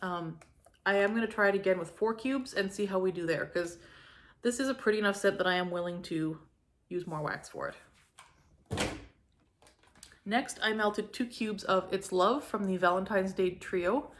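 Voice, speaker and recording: A young woman from Canada chatting casually through a home fragrance review, gushing and filling her talk with likes and ums, her throat a little hoarse from a cold. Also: medium pitch, normal speed, long pauses. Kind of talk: casual product review vlog.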